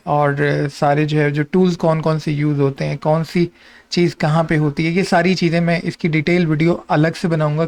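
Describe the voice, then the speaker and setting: A man's voice: a little high, darkish tone. A man with a Pakistani accent giving an informal, instructive explainer, mixing in many English terms as he walks through details. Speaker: host of an exam and job guidance channel.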